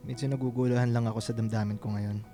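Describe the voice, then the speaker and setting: Man acting mellow, gentlemanly young Filipino. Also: medium pitch, little pitch movement, quick pace, soft background music, close to an everyday voice. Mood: confused, evasive. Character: Romel, gentlemanly, hesitant, troubled young man. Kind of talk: radio drama dialogue.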